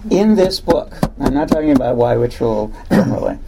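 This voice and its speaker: A man introducing an author at a bookstore talk, speaking slowly and haltingly as he searches for words. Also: fairly high pitch, big pitch swings, creaky, heavy background noise. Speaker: event host introducing an author. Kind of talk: personal introduction.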